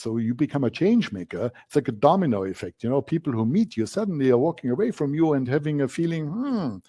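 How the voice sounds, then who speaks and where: A man's voice: a little high, dark tone. A man from Germany with a German accent, giving a masterclass presentation in an emphatic style, repeating key words and using frequent fillers. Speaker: coaching instructor.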